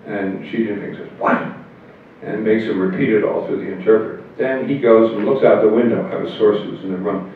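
An older man, a politician guest, telling wry anecdotes in a relaxed conversational way at a convention. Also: on the low side, brisk, slight background noise. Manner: wry and anecdotal, chuckles at his own jokes. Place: hotel meeting room at a convention. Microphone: table mic on a stand, heard from far. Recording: echoey room, far, phone-like thin sound.

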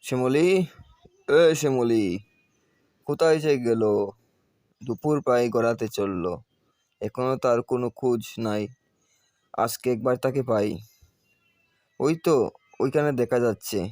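A man's voice: medium pitch, unhurried, long pauses, darkish tone, no echo, lightly acted. Guy doing a naggy village cowherd husband for a cartoon, complains and demands, dry close sound. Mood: exasperated. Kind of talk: cartoon voice acting.

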